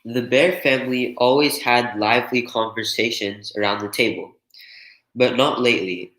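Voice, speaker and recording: A man's voice: mid-range voice, moderate pace, pushed and bright. A man reading a children's picture book aloud as a storyteller. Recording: echoey room.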